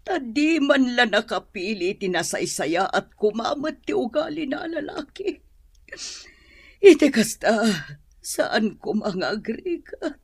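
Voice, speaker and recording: An actress playing a worried middle-aged mother in a radio drama, husky and pleading. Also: fairly high pitch, very animated, takes it slowly, no room sound, studio quality, heavily acted.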